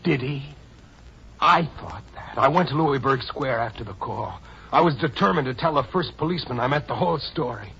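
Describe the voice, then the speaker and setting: A man's voice: medium pitch, animated, moderate pace, creaky, a bit bright, breathy edge, full character voice. An actor playing an earnest, tense, stubborn young man in an old-time radio drama. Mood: troubled. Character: earnest, defiant young man hounded by death. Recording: muffled vintage radio recording with music.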